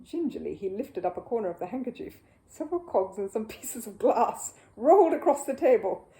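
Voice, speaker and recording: A woman narrating a children's story as an animated audiobook reader. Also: fairly high pitch, fairly quick.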